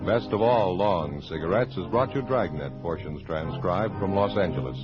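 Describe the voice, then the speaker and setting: Deep-voiced American radio announcer, a man. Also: moderate pace. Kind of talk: formal 1950s radio announcing. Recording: muffled 1950s radio with loud music.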